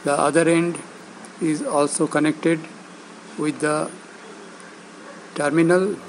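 A man narrating instructions step by step, speaking in short, halting phrases with pauses between them. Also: a little high, fairly even intonation, slight background noise, low-quality recording. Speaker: instructor demonstrating loudspeaker assembly.

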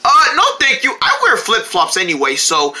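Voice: medium pitch, very animated, moderate pace, sharp tone. A performer voicing a goofy young male friend who stammers, rambles and talks in casual slang. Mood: rambling.

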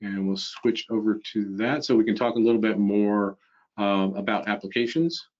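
A man's voice: on the low side, level tone, normal speed, a little mellow. A man hosting a webinar in a casual presenting style, heard over a video call. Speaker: webinar host. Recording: dull, lo-fi computer audio.

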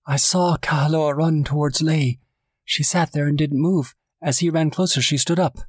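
A man's voice: medium pitch, steady tone, slow, a little mellow, breathy edge, no echo, studio quality, pitched above the performer's own voice. A man voicing frantic, grief-stricken island women in an audiobook story, urgent and distraught. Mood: hysterical, horrified.

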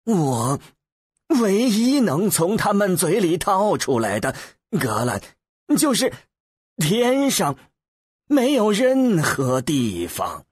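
A man voicing a modest, flustered older scholar who translates haltingly and apologetically in an audiobook. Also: high-pitched, huge pitch swings, breathy edge, dry recording, clean studio sound, exaggerated voice. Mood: hesitant, apologetic. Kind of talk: acted audiobook dialogue.

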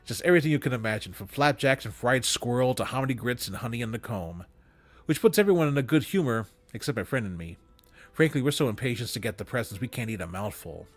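A man's voice: mid-range voice, lively intonation, fast, dry recording, faint music behind. Man reading a story aloud, dry close sound. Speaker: reader. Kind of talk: expressive literary storytelling, read aloud. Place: small room at home, indoors. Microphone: close desk microphone.

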